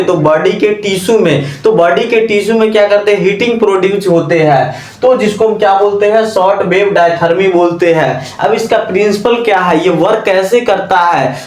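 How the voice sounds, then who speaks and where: A man with an Indian accent giving an instructional lecture as a teacher, repeating himself and often asking rhetorical questions as he explains. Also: high voice. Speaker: physiotherapy lecturer. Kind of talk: instructional lecture mixing Hindi and English terms. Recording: echoey room sound.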